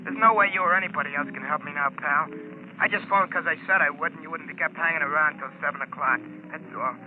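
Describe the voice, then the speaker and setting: An actor playing a cornered, fearful American working man, rough and slangy, through a telephone filter. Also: fairly high pitch, fast. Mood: desperate, resigned. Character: cornered, fearful working-class reformed male safecracker. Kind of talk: 1940s radio acting. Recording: tinny phone line, noisy old radio.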